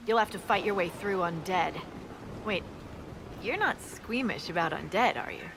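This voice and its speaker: A woman's voice: on the low side, lively intonation, gravelly. An actress voicing a blunt, practical woman scout in a video game. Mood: briefing. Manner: blunt, brisk. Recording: game audio with ambient noise.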